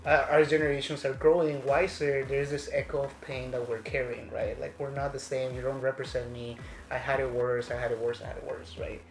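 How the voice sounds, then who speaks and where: A man's voice: medium pitch, flat delivery, brisk, few pauses, a crowd in the background. A man from Mexico, talking passionately in a casual conversation, often asking "right?" to press his points. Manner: passionate, emphatic, says "right?" and "girl". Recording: echoey room with music behind.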